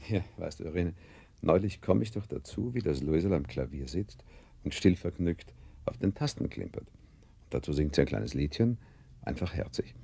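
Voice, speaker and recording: An actor playing a fond, busy Viennese conductor father in a radio play, with an Austrian accent and a gravelly, husky voice. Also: a bass voice, animated, normal speed, warm tone, exaggerated voice. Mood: enthusiastic, pleased. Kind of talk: German radio play acting.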